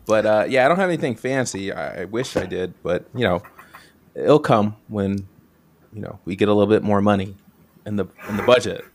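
A man's voice: on the low side, brisk, slight background noise. A man hosting a podcast in casual chat, talking in a rambling, self-deprecating way. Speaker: podcast host.

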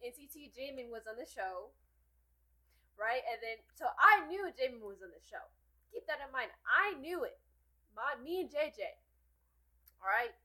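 A woman hosting a casual podcast, telling stories in an animated, teasing way. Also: high voice, moderate pace, pauses often, poor audio. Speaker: podcast host.

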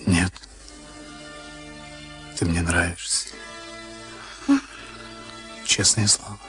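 An actor in a Soviet radio play as a moody, weary boxer of thirty-six. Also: male voice, low voice, level tone, drawn-out words, bright, forceful tone, exaggerated voice. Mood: weary, earnest. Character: moody, weary 36-year-old professional boxer and former prisoner. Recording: archival radio recording with music behind.

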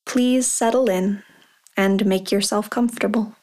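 A woman leading a guided meditation, speaking softly and calmly with long pauses. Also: medium pitch. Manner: slow, soft and calm, with long pauses.

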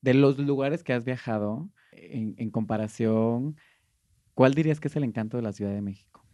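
Guatemalan man hosting a chatty podcast, campy and teasing, mellow tone, dry close mic. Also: medium pitch, no room sound. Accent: Guatemalan Spanish. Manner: playful, campy, quick questions with many affirming murmurs. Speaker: podcast host. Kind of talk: casual podcast interview.

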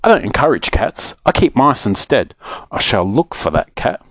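A man voicing an excitable, muddled old man who mishears and gets easily vexed, in a children's story. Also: medium pitch, normal speed, no echo.

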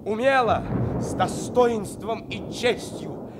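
A fervent young-army recruit in a radio drama, reciting ceremonially. His high voice is solemn and declamatory, set against very loud background noise. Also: slow pace. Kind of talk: radio play oath recitation. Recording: loud noisy ambience, vehicle-like rumble.

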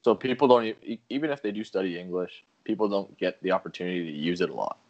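Very deep-voiced man chatting casually. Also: fast talker, lo-fi sound. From Canada. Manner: relaxed storytelling, laughs often. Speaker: guest. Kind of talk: casual chat. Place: home in rural Japan. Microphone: video call.

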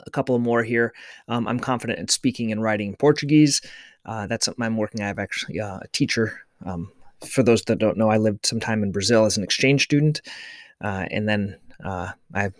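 A man's voice: medium pitch, no echo, clean studio sound. A middle-aged man hosting a podcast, talking casually in a rambling way with many restarts and fillers. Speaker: podcast host, podiatrist.

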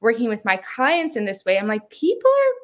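A woman in her thirties, a hypnotherapist, talking as a podcast guest, chatty and upbeat, with a soft, soothing voice and frequent laughs. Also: a little high, wildly swooping pitch, fairly quick. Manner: talkative, upbeat, laughs often. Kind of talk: casual interview chat.

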